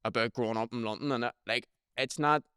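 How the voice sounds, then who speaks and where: A young man with a Belfast accent talks loosely on a podcast, swearing freely and tagging sentences with "do you know what I mean". His voice is smooth and clear, at an ordinary male pitch. The recording is dry and close. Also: fast, pushed and bright, no echo. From Northern Ireland. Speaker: rapper guest. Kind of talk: casual podcast chat.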